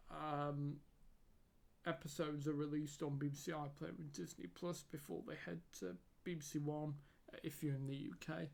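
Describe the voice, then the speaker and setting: Man, casual reviewer, hoarse from losing his voice, slightly raised pitch, halting delivery full of fillers and repeats. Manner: hesitant, many fillers and repeated words. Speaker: YouTube reviewer.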